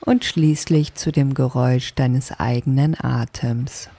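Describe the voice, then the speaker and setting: Woman doing yoga nidra sleep meditation, soft calming voice, dark tone with lots of fry. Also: rumbling low. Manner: soft, calming, deliberate instruction.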